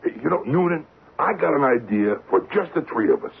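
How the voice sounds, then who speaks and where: An actor playing a sly, folksy middle-aged New crook with a drawling, streetwise way of talking, in an old-time radio drama. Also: male voice, mid-range voice, very animated, moderate pace, gravelly, husky, full character voice. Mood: sly, genial. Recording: muffled, narrow-band vintage radio recording.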